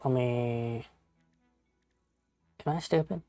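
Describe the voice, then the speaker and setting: A man's voice: medium pitch, takes it slowly, mellow, no room sound, soft background music. Casual rambling man in his thirties streaming. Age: 30s. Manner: rambling, casual, swears, talks to chat. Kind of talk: casual live-stream chat while gaming.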